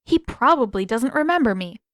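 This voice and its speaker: A nosy young girl from a fantasy story, acted out by a woman reader, speaks in a raised, girlish pitch. She is cheeky and blunt, needling and persistent, with a sing-song teasing lilt. The sound is close and dry. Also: animated, fairly quick, a bit bright, dry recording. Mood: nosy, challenging.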